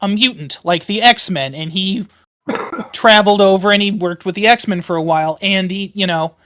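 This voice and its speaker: A woman playing a tabletop game, talking casually and haltingly, repeating phrases for emphasis. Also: mid-range voice, a bit bright.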